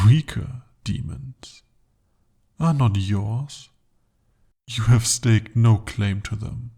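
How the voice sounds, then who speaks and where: A young man voicing a jealous, possessive male demon bound to a sorcerer, speaking softly and deliberately in an ASMR roleplay. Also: fairly low pitch, gravelly, slightly rough, exaggerated voice. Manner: intimate, soft-spoken, slow and deliberate.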